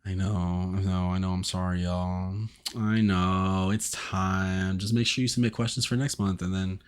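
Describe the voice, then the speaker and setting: A man's voice: deep voice, level tone, normal speed, clear, resonant tone. A young man hosting a casual advice podcast, chatty and teasing, with lots of fillers. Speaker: podcast host.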